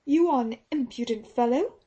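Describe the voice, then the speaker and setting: A woman's voice: high-pitched, moderate pace, dark tone, muffled recording, voice pushed up. A woman reading an audiobook, voicing a stern, taciturn Australian nurse who is fiercely protective of her mistress. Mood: indignant. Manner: indignant, protective. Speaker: audiobook reader.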